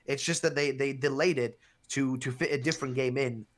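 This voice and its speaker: A man speaking English as a second language, chatting fast and profanely about video games as a podcast co-host. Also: mid-range voice, fairly even intonation, a little hiss or hum. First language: not English. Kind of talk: casual gaming chat.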